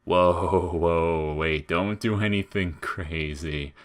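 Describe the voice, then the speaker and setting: A man's voice: deep voice, steady tone, slow. A man voicing a spiteful, falsely chirpy talking flower in audio fiction, taunting and needling with whiny outrage and menace. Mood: panicked.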